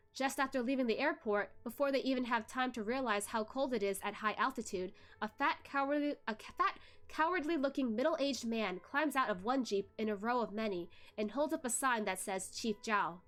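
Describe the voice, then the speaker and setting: A woman's voice: a little high, fairly bright tone. A young adult woman hosting a read-along, narrating a novel. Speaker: book club host reading aloud.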